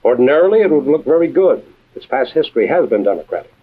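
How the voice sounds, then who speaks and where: An actor playing a composed, authoritative intelligence chief and adventure storyteller, measured and dry, in a vintage radio drama with tinny sound. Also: male voice, medium pitch, big pitch swings, slight background noise, close to an everyday voice. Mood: grave, explanatory. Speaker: host and narrator-character. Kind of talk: old-time radio adventure drama acting.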